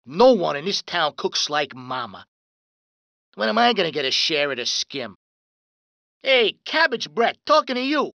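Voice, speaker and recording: A woman's voice: lowish voice, big pitch swings, unhurried, gravelly, pauses often, fairly bright tone, no room sound, heavily acted. A woman voicing a hot-tempered male mafia street thug from a video game, brash and profane. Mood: taunting. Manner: brash, profane, swaggering tough-guy talk. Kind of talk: reciting video game pedestrian lines. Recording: dull lo-fi dry recording.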